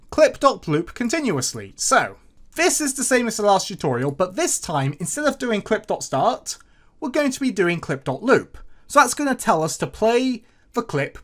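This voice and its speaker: A woman presenting a step-by-step coding tutorial in a clear, instructional way. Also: medium pitch.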